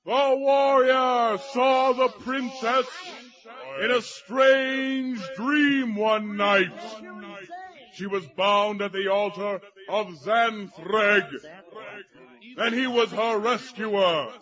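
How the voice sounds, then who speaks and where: A man playing a grandiose storyteller, narrating a dark barbarian legend in a theatrical, drawn-out way. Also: high voice, steady tone, dull audio, heavily acted. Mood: fierce, booming. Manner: theatrical, bombastic, drawn-out delivery. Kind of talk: dramatic fantasy storytelling narration.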